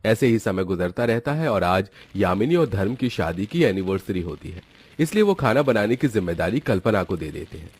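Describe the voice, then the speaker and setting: A man's voice: lowish voice, big pitch swings, moderate pace, a little mellow, slightly rough. A man narrating a scripted children's moral story as its storyteller.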